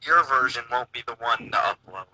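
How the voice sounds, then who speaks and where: A man's voice: mid-range voice, lively intonation, a little fast, sharp tone. A man chatting casually on a noisy voice call, with a playfully boastful, pompous manner. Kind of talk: casual group chat.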